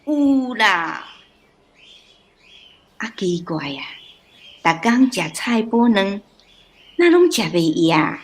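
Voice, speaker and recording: A woman's voice: medium pitch, very animated, takes it slowly, pauses often. A woman acting a kindly, patient old grandmother in a radio drama, speaking Taiwanese Hokkien-accented speech. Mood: fond, coaxing.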